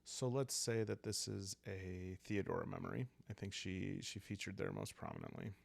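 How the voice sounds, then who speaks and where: Calm low-voiced man with gravelly creak. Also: moderate pace. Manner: calm, hushed, musing aloud. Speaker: solo role-playing game host. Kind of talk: narrating a solo journaling game for relaxation.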